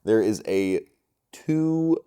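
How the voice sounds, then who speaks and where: Guy with a lowish voice doing a laid-out how-to, informal and chatty, step by step. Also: lively intonation, slow pace, warm tone, smooth. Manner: informal, conversational, explaining step by step. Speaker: instructor for a film gear rental company. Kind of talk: casual instructional crash course to camera.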